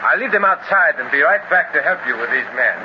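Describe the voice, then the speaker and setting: A man's voice: a little high, animated, fairly quick, muffled recording, lightly acted. A man doing Carlos, a courteous adventurer. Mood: steady. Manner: formal, courteous phrasing. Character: Carlos, Latin adventurer, resourceful and calm. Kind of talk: 1930s radio acting. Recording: scratchy old radio transcription with hiss.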